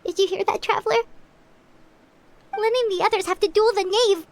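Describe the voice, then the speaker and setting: Squeaky tiny fairy companion. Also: female voice, a little fast, vocal fry, a little hiss or hum. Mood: worried, surprised. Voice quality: squeaky, childlike. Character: tiny childlike chatty fairy companion, anxious and earnest. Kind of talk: anime game voice acting. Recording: game music behind.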